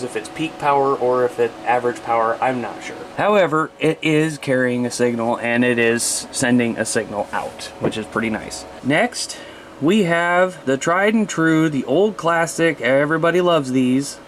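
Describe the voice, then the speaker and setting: A man's voice: mid-range voice, a little hiss or hum. A man chatting in a folksy, informal way as he casually shows off his hobby gear. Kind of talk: casual show-and-tell vlog.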